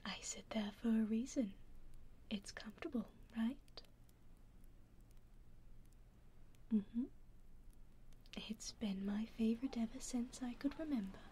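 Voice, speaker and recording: A British woman playing a centuries-old vampire lady, aloof yet caring, in a breathy, hushed whisper close to the microphone for ASMR roleplay. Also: a little high, slight background noise, close to an everyday voice. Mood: coy, aloof. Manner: hushed, unhurried, intimate. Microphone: close binaural microphone.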